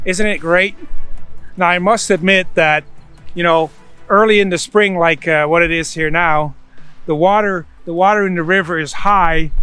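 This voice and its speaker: A man with a high-pitched voice hosts a casual storytelling devotional. He talks in a folksy, conversational manner, often dropping in "you know", over faint music. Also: sharp tone, clear, resonant tone. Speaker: host, Bible storyteller.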